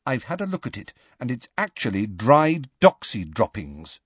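A man voicing a bossy, sensible, earnest teenage schoolgirl with a brisk manner in an audiobook. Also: mid-range voice, wildly swooping pitch, slightly rough, no room sound, highs cut off. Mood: stern, dismissive.

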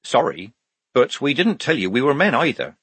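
A man's voice: mid-range voice, very animated, moderate pace, fairly bright tone, husky, dry recording, voice pushed up. A man voicing a plain-spoken young woman soldier with a blunt, dry manner in an audiobook.

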